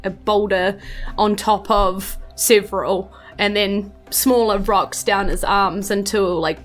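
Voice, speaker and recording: A woman's voice: medium pitch, a bit bright. A woman narrating a tabletop fantasy game as game master. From New Zealand. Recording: faint music bed behind speech.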